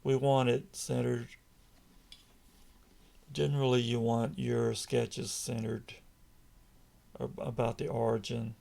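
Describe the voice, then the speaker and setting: A man with a raspy voice, calmly walking through a software tutorial over a screen recording. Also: medium pitch. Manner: slow, measured, patient explaining. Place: indoors at a computer, voice-over on screen capture.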